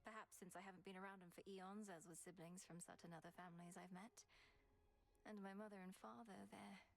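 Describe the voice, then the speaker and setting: A woman voicing a gravelly, earnest young witch. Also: medium pitch, steady tone, fairly quick, close to an everyday voice. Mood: gentle. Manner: gentle, earnest, inquisitive. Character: earnest young witch princess, calm and curious.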